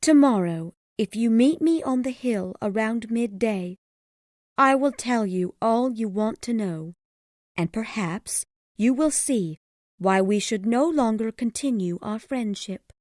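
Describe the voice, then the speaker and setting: A man's voice: high-pitched, animated, unhurried, a little mellow, no room sound, clean studio sound, pitched above the performer's own voice. A man reading a story aloud, voicing an anxious, sorrowful young woman in a pleading tone. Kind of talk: audiobook character voice.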